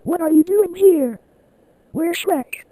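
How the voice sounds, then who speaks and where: A man puts on a shrill ogre wife in a comic story. The voice is extremely high, dramatic and overwrought, with swearing exclamations and a dry, close sound. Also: expressive, slow pace, dark tone, no echo, exaggerated voice. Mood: shocked.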